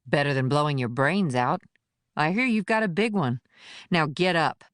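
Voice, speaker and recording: A man's voice: on the high side, animated, normal speed, fairly bright tone, no room sound, clean studio sound. A man voicing a menacing, taunting female agent in an audiobook thriller. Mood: threatening. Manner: taunting, threatening. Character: cold menacing woman agent with gun. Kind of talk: audiobook narration.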